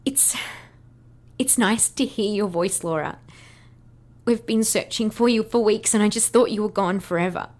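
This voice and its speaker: A woman's voice: medium pitch, moderate pace, a little mellow, close to an everyday voice. A woman playing an earnest, determined team leader in an audio drama. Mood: tearful, remorseful. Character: Vanessa, brilliant, guilt-ridden woman leading the team, determined. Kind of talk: audio fiction acting.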